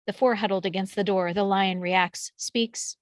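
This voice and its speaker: A woman's voice: mid-range voice, steady tone, normal speed, dry recording, clean studio sound. A woman reading out screenplay action descriptions as scripted narration. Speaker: stage directions reader.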